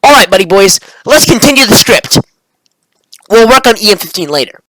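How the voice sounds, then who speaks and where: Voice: mid-range voice, expressive, a little fast, fairly bright tone, slight background noise, rough recording. A video creator reading their own script aloud, stumbling and correcting themselves, with casual slangy asides. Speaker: video creator and amateur visual novel maker.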